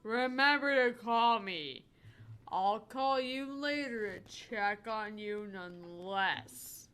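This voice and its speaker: A woman voicing a concerned, protective friend in a visual novel, caring, measured and gently insistent. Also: medium pitch, clean tone. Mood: concerned, firm.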